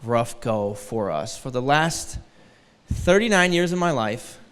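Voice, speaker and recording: A man's voice: medium pitch, expressive, takes it slowly, a bit bright, smooth, long reverb tail. A man in his forties from Detroit, a guest preacher giving a sermon with a light, thin voice and a joking, self-deprecating manner. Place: large church hall.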